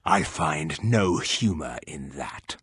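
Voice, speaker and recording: A man voicing a stern, humorless, gruff hired warrior in a video game, with a husky voice and a curt, scornful way of speaking. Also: a bass voice, lively intonation, slow, fairly bright tone, no room sound, clean studio sound, full character voice. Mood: irritated.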